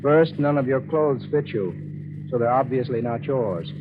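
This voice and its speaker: An actor playing a stoic, calmly defiant man in a 1940s radio drama, speaking in a measured, deliberate way. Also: medium pitch, natural voice. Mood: calmly accusing. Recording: noisy, dull 1940s radio recording.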